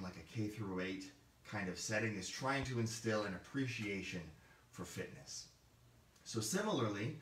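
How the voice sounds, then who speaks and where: A hoarse man hosting a casual livestream for a game store, rambling with many fillers and restarts. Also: medium pitch, fairly bright tone, distant mic. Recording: roomy, mic set back.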